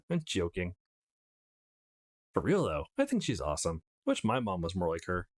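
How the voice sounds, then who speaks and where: A man's voice: sits low, wildly swooping pitch, normal speed, gravelly, voice pushed down, exaggerated voice. A man voicing a brash, teasing, foul-mouthed young woman from a visual novel, telling stories in a cheeky, blunt way. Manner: cheeky, blunt, gossipy storytelling.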